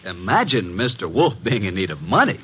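A wisecracking assistant to a detective in vintage radio drama. The voice is husky and forceful, with very lively swings of pitch. He talks glibly, with a wry edge. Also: a little fast, exaggerated voice. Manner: glib, wry, animated. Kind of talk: 1950s radio drama acting and narration. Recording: vintage 1950s radio recording.

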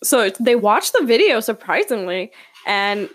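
A woman's voice: a little high, big pitch swings, normal speed, a bit bright, no room sound. Chatty young woman podcaster. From California. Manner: chatty, rambling, laughs often, many fillers. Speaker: podcast host. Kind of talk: casual solo podcast chat. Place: room at home with windows open.

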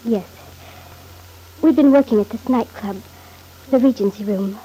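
An actress in a classic radio soap opera playing a fragile, lonely wife testifying hesitantly, on a noisy old recording. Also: female voice, a little high, dark tone, slightly rough. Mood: hesitant, pained. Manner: hesitant, halting.

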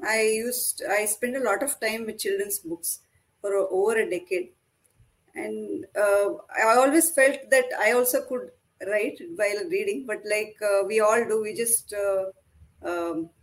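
A woman in her forties, a native Kannada speaker, answering interview questions as an author, with many pauses and fillers. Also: mid-range voice, rough recording. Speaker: author guest.

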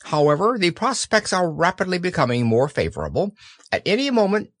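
A long-winded, self-important owl from a storybook, put on by a male reader. The voice sits high and swoops about. The delivery is deliberate and pompous, in a dry space. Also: sing-song, dry recording. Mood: pompous, insistent.

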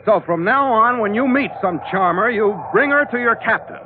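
A man's voice: high-pitched, lively intonation, normal speed, noisy background. An actor playing a tough, wry sea captain who narrates his own adventures in hard-boiled 1940s radio style. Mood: wry, companionable. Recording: narrow old radio broadcast recording.

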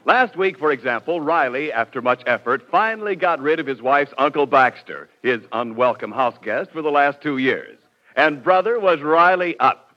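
A man's voice: fairly high pitch, animated, moderate pace. A male announcer delivering scripted narration in a polished, emphatic 1940s radio style. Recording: dull vintage radio recording.

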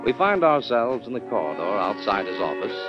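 A man's voice: on the low side, sing-song, fairly quick. A man announcing a vintage radio drama with a grand, dramatic scripted delivery. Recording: dull old radio broadcast recording. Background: music.

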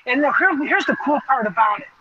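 A man's voice: extremely high pitch, expressive, quick pace, over a noisy crowd. A male roving reporter explains things in an enthusiastic, conversational way. His live feed sounds slightly dull and lo-fi.

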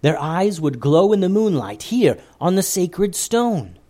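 A man voicing a calm wise forest leader. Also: high voice, voice pushed up, heavily acted. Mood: solemn. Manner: slow, measured, solemn.

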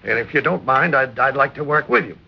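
An actor playing a proud, earnest, warm-hearted merchant captain in an old-time radio drama, with a gravelly, husky voice. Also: male voice, medium pitch, expressive, brisk, noisy background. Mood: genial, earnest. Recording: dull vintage radio recording.

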